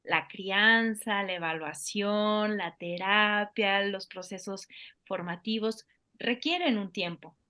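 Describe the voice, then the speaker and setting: A woman's voice: mid-range voice, takes it slowly, sharp tone. A woman hosting an interview, responding thoughtfully and building on her guest's points. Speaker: host.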